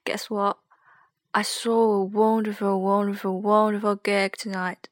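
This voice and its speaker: A young woman with a Chinese accent, rambling hesitantly and softly in a late-night solo podcast. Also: medium pitch, flat delivery, unhurried, no room sound, studio quality. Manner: hesitant, rambling, soft and sleepy. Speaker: podcast host.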